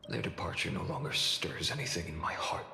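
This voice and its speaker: A man acting a grimly resolute, world-weary immortal warrior in a video game, solemn and detached in short halting phrases. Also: sits low, big pitch swings, gravelly, sharp tone, slight background noise, heavily acted. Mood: weary detachment. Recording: long echoing reverb, game music behind.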